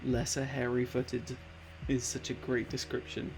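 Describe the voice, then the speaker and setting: A man's voice: medium pitch, flat delivery, measured pace, vocal fry, soft background music, rough recording. A man in his late twenties chatting casually on a live stream, rambling with lots of fillers and easily delighted. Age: late 20s. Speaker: art streamer.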